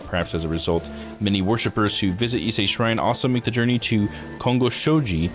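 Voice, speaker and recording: A man's voice: on the low side, a little fast, soft background music. A man streaming a visual novel, reading it aloud with muttered asides and stumbles over unfamiliar names. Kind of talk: live read-aloud commentary of a game.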